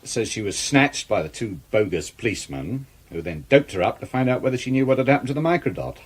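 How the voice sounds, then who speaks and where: An actor playing a sceptical, brusque British police detective in a radio drama, with dry, pointed questioning. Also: male voice, medium pitch, animated, quick pace, breathy edge. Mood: sceptical, needling.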